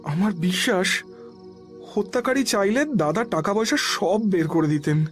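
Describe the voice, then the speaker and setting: An actor playing a grieving younger brother in an audio drama, pleading in a tearful, choked, reverent way. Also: male voice, high voice, expressive, a little slow. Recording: music behind the voice.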